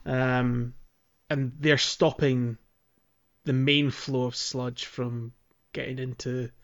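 A man's voice: medium pitch, little pitch movement, slow, a bit bright, dull audio. A man co-hosting a podcast, chatting casually about a video game. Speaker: podcast co-host.

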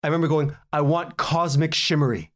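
A man speaking as a guest in a casual podcast interview, telling anecdotes in an animated way and acting out bits of dialogue. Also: fairly high pitch, brisk. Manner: animated storytelling, acts out quoted exchanges, chuckles often. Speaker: guest, audio drama writer and director.

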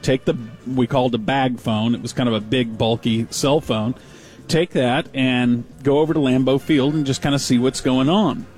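A man on a radio show telling an animated story and laughing at himself. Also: mid-range voice, normal speed. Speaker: radio host.

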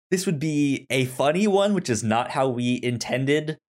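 A man co-hosting a podcast, chatting casually about films in a halting way, often repeating words and restarting. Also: mid-range voice, animated, darkish tone. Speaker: podcast co-host. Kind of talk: casual film review chat.

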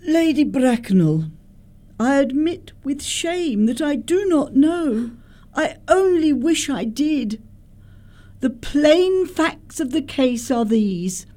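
A woman's voice: high voice, big pitch swings, slow, dark tone, full character voice. A woman playing a prim, flustered governess, earnest and melodramatic, in a comic radio play. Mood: ashamed, confessional. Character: prim, flustered, guilt-ridden spinster governess. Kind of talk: stage comedy radio acting.

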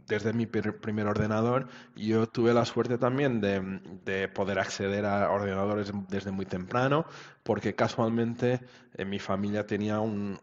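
A low-pitched man talks through his answers in an interview, restarting phrases and checking in with little tag questions. His voice comes over a video call with dull, lo-fi sound. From Portugal. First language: Portuguese. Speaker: guest, web standards expert.

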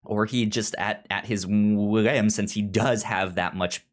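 A man co-hosting a casual discussion podcast, talking haltingly and often repeating sounds and words as he goes. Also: fairly low pitch, steady tone. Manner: halting, frequent stammered repetition of sounds and words. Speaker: podcast co-host. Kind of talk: casual book discussion chat.

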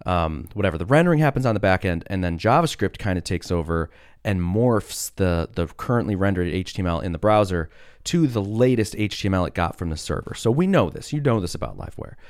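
A man hosting a casual solo podcast, sick with a cough that has deepened his voice, rambling with many fillers and restarts. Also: expressive, a little fast, no room sound, studio quality. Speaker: podcast host.

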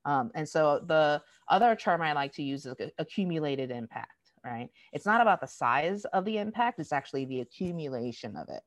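An American woman speaking in an interview, explaining things in an animated, storytelling way and acting out quoted dialogue. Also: sits low, a little fast, hardly any pauses, no room sound. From United States. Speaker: diversity educator. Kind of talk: conversational interview.

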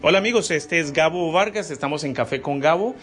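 A man's voice: on the high side, a little fast, fairly bright tone. Man, podcast host, upbeat and encouraging, music bed behind voice. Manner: upbeat, encouraging, short affirmations. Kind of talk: podcast interview.

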